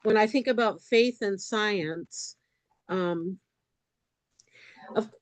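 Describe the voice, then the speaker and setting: A woman asking a careful, measured question at an online event. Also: medium pitch, no room sound. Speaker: audience member asking a question. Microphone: video call.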